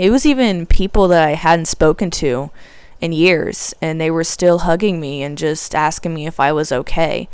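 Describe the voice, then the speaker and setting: A nineteen-year-old woman talks candidly to the camera in a casual vlog, laughing now and then. Her voice is smooth and clear, sitting a little low for a woman. The mic is close and dry, with almost no room sound. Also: no room sound. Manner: candid, confessional, laughs often. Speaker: YouTuber, film student. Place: small room, poorly lit.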